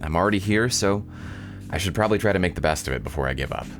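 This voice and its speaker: Visual novel protagonist: sardonic young guy read by a man, low-pitched, disappointed, dryly narrating. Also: animated, rapid-fire. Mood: resigned. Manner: dry, incredulous, narrating.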